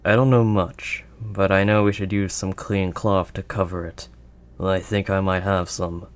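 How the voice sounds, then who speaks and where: Male actor as a gruff kindly werewolf, deep pitch, restrained intonation, halting, protective, intimate roleplay. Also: flat delivery. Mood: gentle, awkward. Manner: halting, awkward, gently protective. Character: gruff but kindly male werewolf living wild in woods. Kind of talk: audio roleplay, intimate second-person acting.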